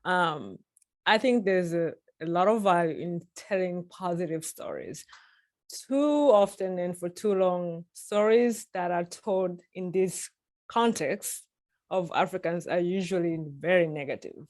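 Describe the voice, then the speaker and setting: A woman from Tanzania speaks on a webinar panel over a video call, in a slightly low voice. She talks earnestly, often pausing on ums and restarting her phrases. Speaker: church policy panelist.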